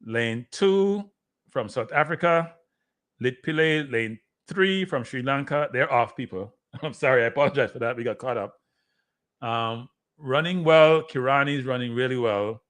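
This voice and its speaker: A man's voice: medium pitch, normal speed, fairly bright tone. A man hosting a watchalong, giving casual live sports commentary. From Jamaica.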